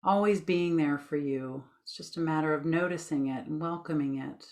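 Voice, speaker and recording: Low-pitched woman guiding a meditation. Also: darkish tone. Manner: soothing, unhurried, informal. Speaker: meditation guide, host. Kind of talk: guided meditation, gentle spoken instruction.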